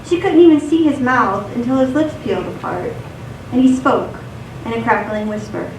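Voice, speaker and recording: A woman reading scripted poetry and stories in a measured, recited delivery for radio theatre. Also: a little high, darkish tone. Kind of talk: scripted poetry and story narration. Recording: distant echoey stage recording, noisy.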